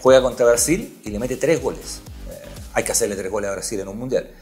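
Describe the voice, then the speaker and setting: A man's voice: mid-range voice, quick pace, darkish tone, soft background music. A man hosting a sports podcast, telling stories emphatically and repeating key points. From Chile. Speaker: podcast host, sports journalist.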